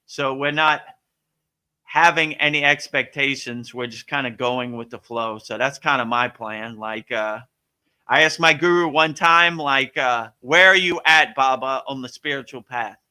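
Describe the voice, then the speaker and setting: A man's voice: medium pitch, measured pace, sharp tone, clear, resonant tone. An American man from Miami talking casually as a spiritual teacher answering questions, in a laid-back, colloquial, anecdotal way. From Miami, Florida, United States.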